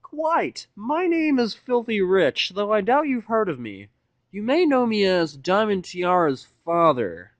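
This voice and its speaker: A man voicing a cold, menacing rich businessman stallion in a fantasy audio drama, with smooth, formal, businesslike speech. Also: high voice, sing-song, a little slow, dry recording, dull audio, voice pushed up, full character voice. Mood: cool, formal.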